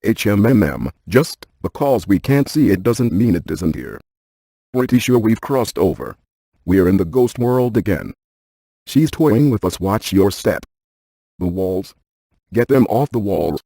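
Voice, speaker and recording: A cautious, intellectual ghost-hunting scientist for a video game. The voice is low and mellow with a husky, gravelly grain. He stays deadpan and exact, as if reading out instrument data. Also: a little slow, no room sound, heavily acted. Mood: urgent. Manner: deadpan, precise, technical. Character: brainy, deadpan paranormal scientist man, cautious and precise. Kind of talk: scripted video game character lines.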